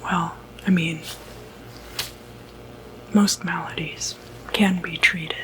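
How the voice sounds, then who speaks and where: A woman playing a calm, quietly puzzled doctor in an ASMR medical roleplay, whispering breathily and hesitantly. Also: fairly low pitch, noisy background. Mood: uneasy, concerned. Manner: hesitant, halting, murmured asides. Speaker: ASMR performer.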